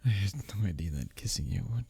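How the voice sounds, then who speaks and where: A man acting as a smitten, teasing workplace rival in an intimate romantic roleplay, with a breathy, husky, halting delivery that often drops toward a whisper. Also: low-pitched, expressive, warm tone, full character voice. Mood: breathless, flirtatious.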